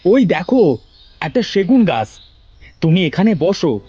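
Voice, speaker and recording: A man playing a poor, caring village woodcutter husband with a coaxing, expressive delivery in a cartoon fairy tale. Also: sits high, unhurried, slightly rough, no room sound, highs cut off, heavily acted. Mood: reassuring.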